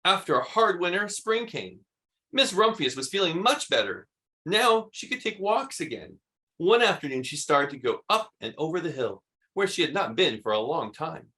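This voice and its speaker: Animated man reading a children's storybook. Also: fairly high pitch. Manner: animated, emphatic, dramatic pauses. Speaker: read-aloud host.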